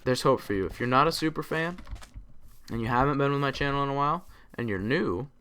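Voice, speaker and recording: A young man hosting a gaming channel, tired and a bit raspy, rambling casually to himself as he plays. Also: medium pitch, lively intonation, a little fast, bright, forceful tone. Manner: rambling, talks to himself while playing. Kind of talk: casual gameplay commentary. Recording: clear typing noise behind. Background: keyboard typing and clicks.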